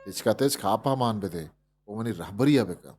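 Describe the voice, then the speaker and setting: A man's voice: on the low side, lively intonation, moderate pace, a little mellow, slightly rough. A man with a Balochi accent voicing a big, wise camel in a storybook fable, taunting and lecturing. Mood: mocking. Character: stern mocking male camel, big and wise. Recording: music behind the voice.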